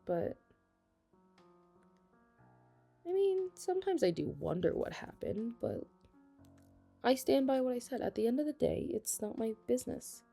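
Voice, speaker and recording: A young woman playing a flustered, affectionate best friend with a secret crush in an intimate ASMR roleplay, speaking hesitantly and haltingly. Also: on the high side, big pitch swings, gravelly, mellow, breathy edge, faint music behind, exaggerated voice. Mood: defensive, incredulous. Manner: hesitant, halting, breaking into nervous laughs. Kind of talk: ASMR romantic roleplay, intimate conversational acting.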